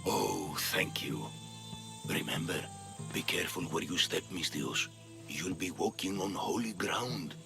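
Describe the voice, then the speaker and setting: Worried down on his luck man in a game, deep plaintive voice, music behind him. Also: unhurried, gravelly. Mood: anxious, grateful. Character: anxious, downtrodden poor male Greek worshipper. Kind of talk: video game cutscene acting.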